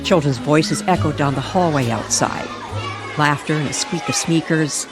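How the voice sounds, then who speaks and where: A woman narrating a scripted mystery story dramatically, with deliberate, suspenseful phrasing. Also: deep voice, over music, a crowd in the background.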